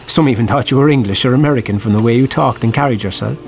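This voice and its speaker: An actor playing a probing, reflective writer with an Irish accent, narrating and talking in a radio drama. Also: male voice, medium pitch, brisk, gravelly, a little hiss or hum, lightly acted. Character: probing, reflective male writer telling a troubled friend's story.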